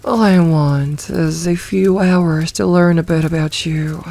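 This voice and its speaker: A woman voicing a possessive, teasing succubus demoness in a soft ASMR roleplay, coaxing and soothing, with some breathy moments. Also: lowish voice, fairly even intonation, unhurried.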